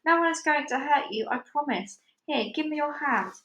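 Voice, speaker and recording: A woman's voice: high voice, expressive, normal speed, fairly bright tone, highs cut off, voice pushed up. A woman voicing a brave, talkative young girl with an eager manner. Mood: reassuring. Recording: roomy echo.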